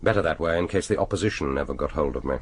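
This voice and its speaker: An English actor in a radio drama playing a jaded, burnt-out secret agent, dry, understated and politely weary. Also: male voice, very deep voice, racing, slight background noise. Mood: subdued, polite. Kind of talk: radio drama acting with inner monologue.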